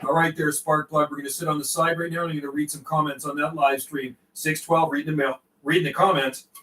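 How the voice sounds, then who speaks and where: A man's voice: a little high, level tone, fast talker. An older man, chatting casually and calling out as a CB radio operator on a livestream, in a folksy way with repeated radio phrases. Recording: roomy, distant mic, faint noise.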